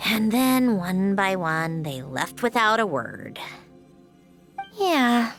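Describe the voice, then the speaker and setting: An actress playing a weary, sardonic female inventor in a video game, speaking slowly with a dry, sighing delivery. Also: medium pitch, big pitch swings, exaggerated voice. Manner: dry, sighing, slow and reflective. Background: soft game music.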